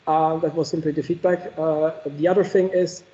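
A man's voice: on the high side, little pitch movement, moderate pace, mellow, lo-fi sound. A man answering audience questions after a technical keynote, thoughtful and hesitant, with many fillers.

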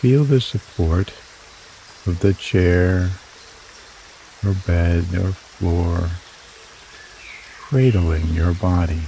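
A man guiding a relaxation meditation in slow, soothing, drawn-out phrases. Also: deep voice, warm tone, slight background noise, low-quality recording. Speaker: meditation guide. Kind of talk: guided meditation narration.

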